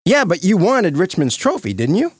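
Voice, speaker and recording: An actor playing a brash, glib prosecuting lawyer in a cartoon, with a showy, blustering manner. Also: male voice, sits high, wildly swooping pitch, normal speed, breathy edge, dry recording, studio quality, exaggerated voice. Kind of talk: animated comedy courtroom dialogue.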